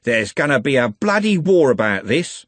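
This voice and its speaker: A man's voice: medium pitch, sing-song, moderate pace, no echo, voice pushed up. A man voicing a young male gang leader who hisses his threats. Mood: menacing. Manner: hissing, threatening.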